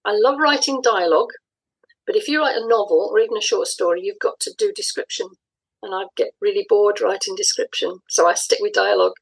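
Woman being interviewed, bit husky, medium pitch, thoughtful, explains stuff with little pauses. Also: poor audio. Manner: thoughtful, explanatory, pausing mid-phrase. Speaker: interview guest, audio drama writer. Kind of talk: conversational interview answers.

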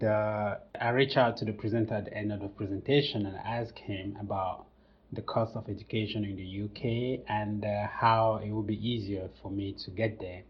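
A young man with a Cameroonian accent, speaking as a podcast guest in a measured way with many pauses. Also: on the low side, slightly rough. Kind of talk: casual interview storytelling. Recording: dull lo-fi sound.

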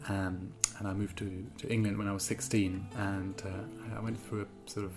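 Man from Germany, somewhat low voice, halting interview answers, steady reading. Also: over music. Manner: hesitant, many ums and restarts when speaking freely. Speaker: guest writer. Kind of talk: interview answers and reading from his own piece.

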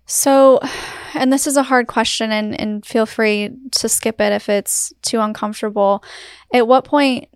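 A woman hosting an interview, asking gentle, probing questions in a conversational way. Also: on the high side, fairly even intonation, unhurried, smooth, no room sound, studio quality. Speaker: interviewer, show host.

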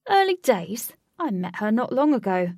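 A woman's voice: mid-range voice, very animated, moderate pace, a little mellow, no room sound, clean studio sound, far from the mic. Woman as an eager, naive lovestruck lad. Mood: unsure. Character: eager, lovestruck young man Alec, naive and easily bewildered.

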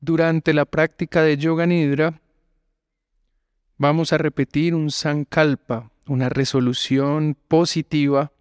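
A man gives calm, measured guided meditation instructions as a yoga teacher. His delivery is very slow, with long pauses between phrases. The voice is smooth and clear, recorded close and dry. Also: a little high, dry recording.

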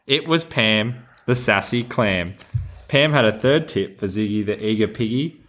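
A man's voice: lowish voice, expressive, measured pace, fairly bright tone, a little hiss or hum. An Australian man who hosts a podcast, talking casually and reading a children's storybook in a playful, encouraging way. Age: adult. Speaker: podcast host.

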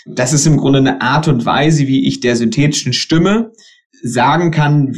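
Man explaining tech in an interview. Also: mid-range voice. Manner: explanatory, with frequent fillers and restarts. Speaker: guest, tech company expert.

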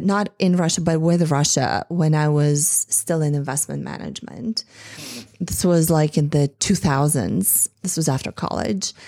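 Earnest woman with a mellow voice. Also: fairly low pitch, no echo, clean studio sound. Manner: impassioned, many "like"s and restarts. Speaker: speaker addressing women in tech. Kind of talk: earnest speech to an audience.

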